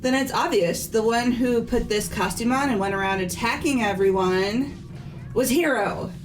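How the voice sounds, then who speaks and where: A woman's voice: a little high, normal speed, lightly acted, low-quality recording. A woman voicing a blunt, accusing, headstrong teenage girl in a fan-voiced visual novel. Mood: suspicious. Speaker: voice actor in fan game playthrough. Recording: music under the voice.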